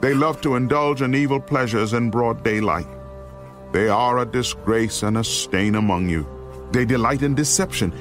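Grave apostle warning his flock, lowish voice, oratorical, deliberate, dramatized scripture, faint music underneath. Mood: stern, denouncing.

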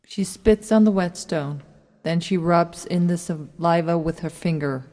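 A woman's voice: lowish voice, measured pace, dark tone. A woman narrating a radio drama, reading out the stage directions as a script. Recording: long hall-like reverb.

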